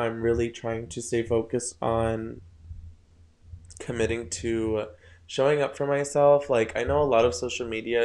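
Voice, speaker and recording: Low-voiced young man musing on spirituality. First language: Spanish. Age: young adult. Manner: rambling, spiritual, often trips over words. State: tired late at night. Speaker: podcast host. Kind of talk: casual solo podcast talk.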